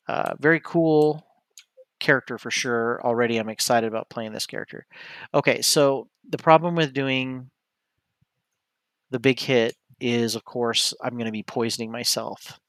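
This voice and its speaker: A man gives casual play-by-play of his own solo tabletop game in a medium-pitched voice. He thinks aloud and often stops to correct himself. The sound is dry and close, with little room in it. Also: a bit bright, no echo. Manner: hesitant, self-correcting, talks through each move. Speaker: streamer playing a board game solo.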